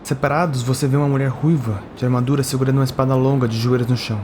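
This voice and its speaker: A man's voice: mid-range voice, fairly even intonation, racing, background noise. A man with a Brazilian accent delivering scripted fantasy narration as a tabletop game storyteller.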